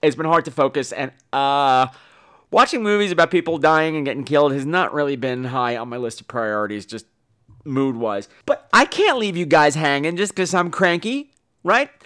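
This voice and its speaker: A man talks casually as a solo podcast host. His voice sits a little high and swings up and down a lot. He rambles in a campy, bawdy, self-mocking manner. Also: animated, moderate pace, fairly bright tone. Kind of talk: casual rambling solo podcast talk about shows and films.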